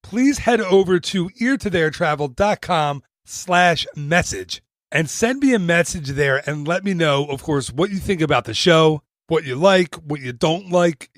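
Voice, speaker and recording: Brisk, bright-voiced male podcast host. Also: fairly high pitch, dry recording, studio quality. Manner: chatty, self-deprecating jokes. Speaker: podcast host and travel agent. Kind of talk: upbeat podcast intro and promo read. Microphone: studio mic.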